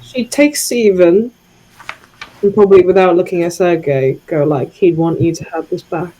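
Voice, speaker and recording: A woman's voice: on the low side, moderate pace, dark tone, rough recording. A woman improvising a story as a player in a tabletop roleplaying show, speaking tentatively.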